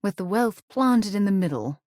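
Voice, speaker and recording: A woman voicing an earnest, principled space explorer and loyal companion in a video game, speaking in an articulate, measured way. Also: medium pitch, lively intonation, darkish tone, dry recording, clean studio sound, lightly acted. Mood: composed. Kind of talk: video game character voice lines.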